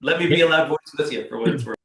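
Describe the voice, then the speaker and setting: A man's voice: fairly high pitch, racing, creaky, fairly bright tone. A man who founded a robotics company, talking with energy and emphasis and often repeating his words. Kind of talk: panel discussion answer. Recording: echoey room, off-mic.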